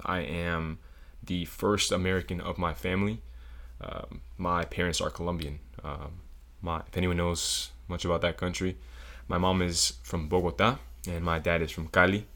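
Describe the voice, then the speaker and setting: A man's voice: deep voice, flat delivery, normal speed, vocal fry, hardly any pauses, fairly bright tone. A young man from South Florida, a student guest on a casual podcast, speaking thoughtfully with many hesitations and ums. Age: young adult.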